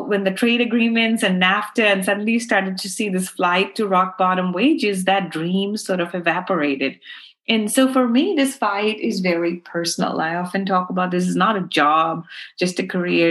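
A middle-aged woman from Pakistan, a guest speaking earnestly and passionately in an interview, often saying "you know". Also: on the low side. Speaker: guest, fashion activist. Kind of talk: interview answers.

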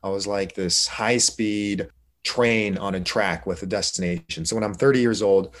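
A man's voice: on the low side, steady tone, moderate pace. A middle-aged man, an interview guest, telling his personal story in an informal, reflective, conversational way. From Korea, moved to the United States.

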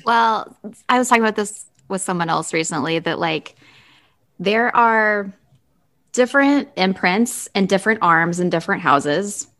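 A woman's voice: mid-range voice, animated, measured pace, a bit bright. A woman hosting a podcast, chatting in an informal, conversational way. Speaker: podcast host. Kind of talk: casual discussion.

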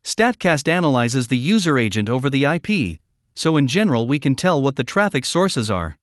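A man's voice: medium pitch, big pitch swings, moderate pace, no echo, clean studio sound. A man answering as an interview guest, explaining in formal, prepared-sounding sentences. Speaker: interview guest, media analytics company representative.

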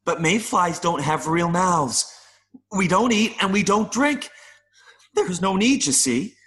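A man playing an adult male mayfly in an audio play, nervous and earnest, pleading haltingly with little buzzing sounds. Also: high voice, expressive, a bit bright, a little hiss or hum, heavily acted. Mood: patient, explaining. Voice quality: buzzing interjections between phrases.

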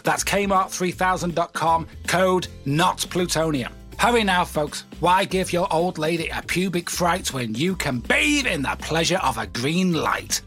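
A man's voice: fairly high pitch, expressive, measured pace, hardly any pauses, fairly bright tone. A man playing a cheesy, grandiose post-apocalyptic salesman in a parody radio advertisement, with theatrical delivery. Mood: brisk hard sell. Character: cheesy, showy male wasteland radio pitchman. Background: music.

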